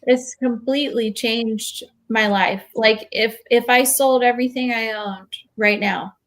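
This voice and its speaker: A woman's voice: a little high, fairly even intonation, unhurried. A woman talking informally and explaining things on a panel, with an earnest, advising manner. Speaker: real estate investor on a panel.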